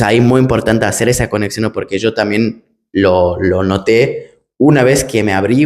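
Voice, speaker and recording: A German man with a fairly low voice speaks Spanish learned in Argentina. He chats casually, hesitating often, restarting phrases and hunting for words as he goes. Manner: hesitant, many restarts and fillers. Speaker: project manager, interviewer. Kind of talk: casual interview talk.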